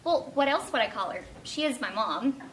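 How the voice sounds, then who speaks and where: A woman's voice: fairly high pitch, lively intonation, moderate pace. A woman playing a polite, slightly hesitant woman in her twenties in stage drama dialogue. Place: indoor stage, mic at a distance. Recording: echoey stage recording, loud noise.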